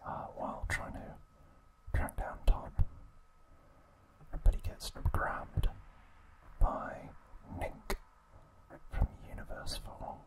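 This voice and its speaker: A man whispering a trivia recap as an ASMR host, in breathy, unvoiced speech broken into short, deliberate phrases. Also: poor audio.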